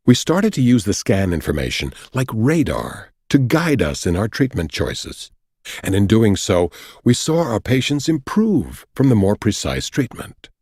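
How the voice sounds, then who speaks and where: A man reads nonfiction as an audiobook narrator, with a slightly low, husky voice. His delivery is deliberate and explanatory, moving widely up and down in pitch as he lays out each point. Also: huge pitch swings, a little mellow, no room sound, studio quality. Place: dry recording studio.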